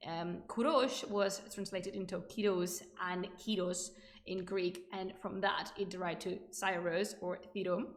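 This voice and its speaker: An echoey room surrounds a woman with a distinctly creaky voice. She presents history live to an online audience in an informal, chatty way. Her delivery is enthusiastic and digressive, often stepping aside from the lecture. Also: on the low side, steady tone, a little slow. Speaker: livestream host presenting ancient history. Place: indoor room, livestream.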